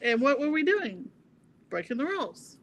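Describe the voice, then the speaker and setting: A woman's voice: high-pitched, very animated, moderate pace, background noise. A woman from the South in her sixties, chatting and telling stories in a self-mocking way. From American South. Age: 60s. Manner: chatty storyteller, self-deprecating. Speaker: trader on a panel. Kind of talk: casual chat.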